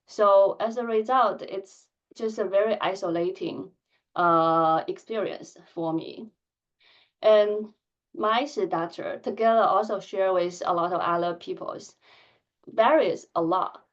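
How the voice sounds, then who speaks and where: A woman in her thirties who stutters, giving a candid conference talk with blocks and filled pauses. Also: mid-range voice, muffled recording. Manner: soft-spoken, candid, measured. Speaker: conference speaker. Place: conference hall.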